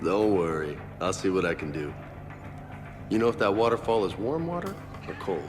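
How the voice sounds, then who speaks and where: An actor playing a brash, muscular young soldier in a video game, casual and boastful in delivery. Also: male voice, sits low, animated, moderate pace, music in the background. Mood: dry, casual.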